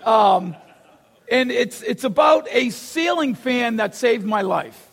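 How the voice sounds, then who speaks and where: A man with a Boston accent preaching a sermon, telling stories with gasps and acted-out lines and shouting for emphasis. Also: very high voice, slow pace, gravelly, husky. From Boston, USA. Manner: animated storyteller, acts out gasps and quotes, shouts for emphasis. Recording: long hall reverb.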